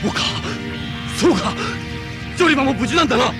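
An intense young anime space commander, very high, swingy, music behind. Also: male voice, expressive, fast talker. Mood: urgent. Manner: urgent, emphatic. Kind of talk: anime drama acting.

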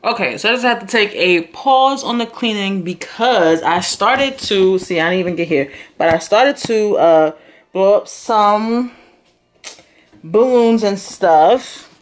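A woman's voice: medium pitch, lively intonation, measured pace, slight background noise. A young woman chatting casually to her phone camera in a small studio apartment, rambling and laughing as she talks about cleaning. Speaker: vlogger. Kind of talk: casual cleaning vlog chat. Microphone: phone camera close up.

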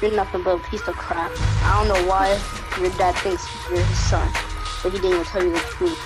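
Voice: mid-range voice, level tone, a little fast, over loud music, close to an everyday voice. A young-sounding amateur voicing a smug, taunting anime villain in a homemade fight roleplay, mocking and blunt. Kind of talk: amateur roleplay anime fight acting over game footage.

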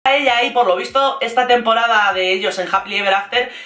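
A woman with a Castilian Spanish accent chatting casually and playfully as an online host, in an animated, gossipy, slangy way. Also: on the high side, fast talker, echoey room. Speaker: YouTube host recapping reality TV. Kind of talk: casual, chatty vlog commentary.

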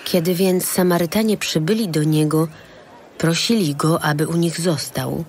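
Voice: mid-range voice, normal speed, a little hiss or hum. A narrator reading scripted narration for a dramatised audio Bible.

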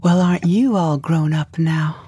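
A woman's voice: low-pitched, measured pace, dark tone, a little hiss or hum. A woman playing a sweetly paranoid, unhinged ex-wife in an audio drama, rambling in a theatrical, sing-song way. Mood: sweetly coy.

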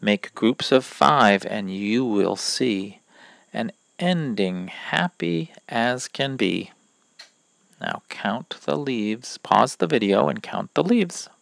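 A man reading a rhyming children's picture book aloud in a deliberate, instructive manner. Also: on the low side, lively intonation, creaky, fairly bright tone, dry recording. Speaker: read-aloud reader.

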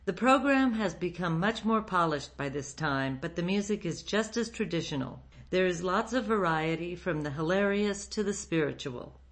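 A woman's voice: on the low side, animated, moderate pace, hardly any pauses. A woman hosting a radio program, reading a scripted introduction. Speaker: radio show host.

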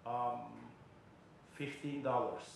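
A man's voice: medium pitch, fairly even intonation, slow pace, gravelly, slight background noise. A middle-aged man giving a keynote lecture at a podium microphone, explaining step by step with frequent fillers. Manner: explanatory, frequent uh fillers, non-native phrasing. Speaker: keynote speaker. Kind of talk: conference lecture. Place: large conference hall, indoors. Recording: very echoey hall with faint ambience.

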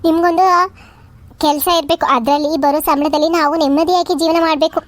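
A woman's voice: high voice, fairly even intonation, fast, no echo, natural voice. A woman voicing a tearful young woman in love who pleads with emotion in a cartoon. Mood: earnest. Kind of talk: cartoon voice acting.

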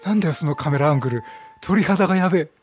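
A middle-aged man giving excitable, casual let's play commentary, reacting chattily and repeating his phrases. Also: a little high, very fast. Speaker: gaming streamer. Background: game music.

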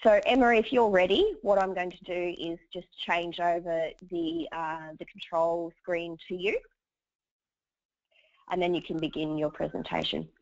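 A woman, hoarse from a cold, hosting a webinar and running through housekeeping in a chatty way with many fillers. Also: on the low side, fairly even intonation, unhurried, no room sound. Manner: chatty, lots of uhs. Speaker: webinar host. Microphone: computer mic over a webinar line. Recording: dull dry webinar audio.

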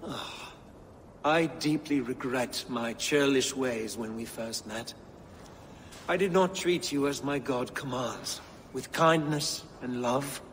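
Pompous Saxon bishop, reverberant. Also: male voice, mid-range voice, fairly bright tone, slight background noise. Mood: contrite, polite. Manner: formal, measured, pompous. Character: pompous, pious middle-aged Saxon bishop, smoothly political. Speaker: actor in a video game cutscene. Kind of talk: video game drama acting.

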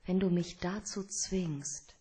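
An actress playing a proud, wounded young femme fatale in a radio detective drama, hushed, breathy and pleading. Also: female voice, fairly low pitch, slow. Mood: hurt, pleading.

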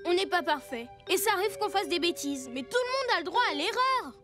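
Child superhero for animation, tiny high voice, earnest and emphatic, music bed behind. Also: female voice, animated, fairly quick. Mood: earnest, determined. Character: earnest, determined child hero of a kids' cartoon. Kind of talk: cartoon voice acting. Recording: music under the voice.

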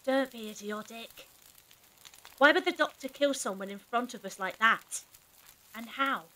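A woman's voice: fairly high pitch, a little slow, pushed and bright, poor audio. A woman playing a practical, caring and level-headed character in an audio drama, firm with the others. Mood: dismissive.

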